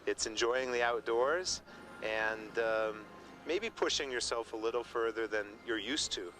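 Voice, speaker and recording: A man's voice: mid-range voice, unhurried, bright, forceful tone. Man in his forties, music underneath. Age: 40s. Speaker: adventure racer. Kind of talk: interview talk. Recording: background music under speech.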